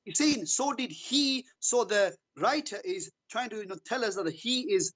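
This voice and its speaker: A man's voice: sits high, animated, normal speed, fairly bright tone, slightly rough. A man from Asia calling into a debate, defensive and talking over the host. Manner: defensive, talks over the host, repeats words. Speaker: caller. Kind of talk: heated call-in debate. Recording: dull lo-fi call audio.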